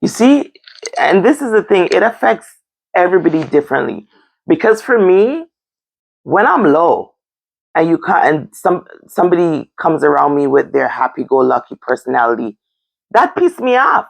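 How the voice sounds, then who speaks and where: A woman's voice: on the low side, very animated, moderate pace, gravelly, low-quality recording. A woman with a Jamaican accent in a candid, casual discussion, blunt and emphatic, repeating phrases for stress. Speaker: discussion show host.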